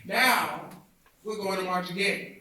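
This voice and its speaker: A man reading a historic speech aloud as oratory, with emphatic, deliberate preacher-like phrasing. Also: sits high, pushed and bright. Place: indoor theatre, recorded from afar. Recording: echoey hall, distant mic.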